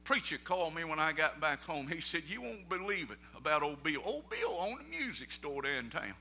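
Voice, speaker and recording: A middle-aged Southern preacher man with a high, piercing voice. He spins folksy stories in down-home talk, then climbs into fervent shouted preaching. His tone stays sharp and bright throughout. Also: big pitch swings, fairly quick, slight background noise. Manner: folksy storytelling, rising to shouted preaching peaks; Southern vernacular, "ain't no". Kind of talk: sermon.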